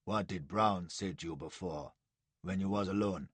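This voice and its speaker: Suspicious male conspirator, all furious whispers, low and husky, put on by a male narrator. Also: moderate pace, no echo. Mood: fierce whisper. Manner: furious low whisper, clipped rough grammar. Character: tense, blunt grown male ally, suspicious.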